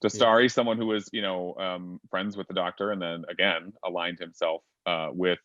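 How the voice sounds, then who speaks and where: A deep-voiced man steering a relaxed fan discussion over a video call. He rambles thoughtfully, full of ums and restarts. The sound is dull and bone-dry. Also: moderate pace, no echo. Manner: chatty, many fillers, thinks aloud at length. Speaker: panel moderator. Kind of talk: casual fan panel discussion.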